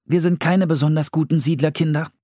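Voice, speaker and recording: A man's voice: on the high side, steady tone, brisk, mellow, no room sound, clean studio sound, pitched above the performer's own voice, close to an everyday voice. A man voicing a cautious, bookish young boy with an earnest, slightly plaintive manner in a children's audiobook. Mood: weary. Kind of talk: audiobook children's story character voice.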